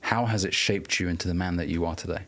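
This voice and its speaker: A man with a very deep voice hosts an interview podcast in a studio. He speaks earnestly and carefully, feeling his way into each question in a conversational style. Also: steady tone, quick pace, a bit bright.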